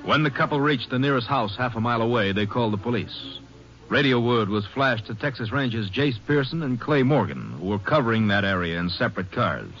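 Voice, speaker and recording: A man's voice: mid-range voice, lively intonation, fairly quick, music in the background, natural voice. A man narrating a crime story soberly in the style of a 1950s radio announcer. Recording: dull old radio recording.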